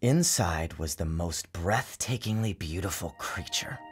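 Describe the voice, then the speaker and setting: A man with a low voice reads a storybook tale in a theatrical, measured way. His pitch rises and falls widely as he narrates, and music plays clearly behind him. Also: lively intonation. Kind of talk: dramatic storybook reading.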